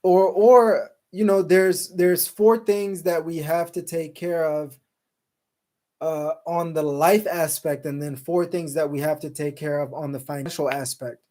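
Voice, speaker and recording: A man with a fairly high but mellow, warm voice hosts a casual live stream. He rambles easily through his points, filling pauses with ums and uhs and restarting sentences. He drops Islamic phrases in between as he reads viewer comments aloud. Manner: relaxed, rambling with many fillers and restarts. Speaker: show host. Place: Salt Lake City, Utah.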